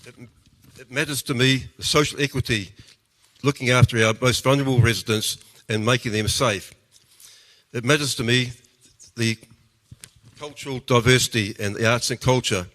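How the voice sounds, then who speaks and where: A man in his sixties with a New Zealand accent giving a campaign speech as a council candidate, halting, with pauses and repeated words. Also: mid-range voice, a bit bright, in a large hall. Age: 60s.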